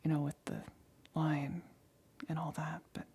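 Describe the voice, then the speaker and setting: A woman playing an anxious, lonely female lab assistant in an ASMR roleplay, whispering softly and intimately with halting, hesitant stammers. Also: sits low, level tone, exaggerated voice. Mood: anxious, pleading. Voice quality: breathy, whispered.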